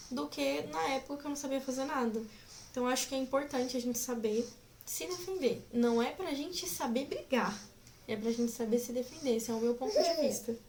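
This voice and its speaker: Young woman, twenties, chatty, giggly, rambling, higher voice, faint background hiss. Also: warm tone. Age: 20s. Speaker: vlogger. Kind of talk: casual chat to camera.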